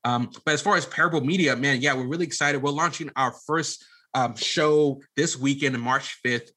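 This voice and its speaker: A man with a bright, forward voice speaks as a guest in an interview. His delivery is casual and earnest, like someone sharing advice informally. The pitch sits in an ordinary male range. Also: normal speed. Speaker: podcast guest, media company CEO.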